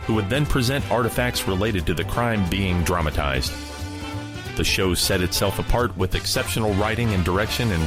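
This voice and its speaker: Low-voiced man hosting over music. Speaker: host of old-time radio show. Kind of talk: scripted narration.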